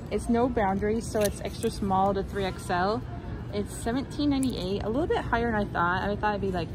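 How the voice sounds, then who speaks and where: A woman's voice: medium pitch, noisy background. A woman vlogger chatting casually and upbeat with lots of fillers as she films clothes on a phone inside a big store. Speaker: shopping vlogger. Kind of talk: casual shop-with-me narration. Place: inside a large retail store. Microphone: phone camera held in hand.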